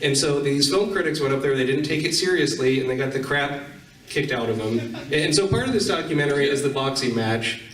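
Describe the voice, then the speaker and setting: A man's voice: medium pitch, brisk. A man hosting a casual panel talk, putting questions to an audience. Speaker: panel host at a gaming expo. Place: convention panel room. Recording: echoey room, distant microphone.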